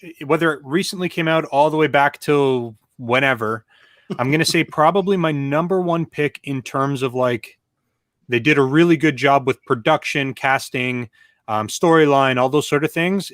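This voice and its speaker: A man co-hosting a gaming podcast, relaxed and thinking aloud as he talks. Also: mid-range voice, moderate pace, dry recording, clean studio sound. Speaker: co-host. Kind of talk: casual chat.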